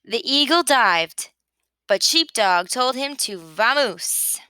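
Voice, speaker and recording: A woman reading a children's picture book aloud with expressive narration. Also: on the high side, slow pace, clean tone, no room sound. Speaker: storybook reader.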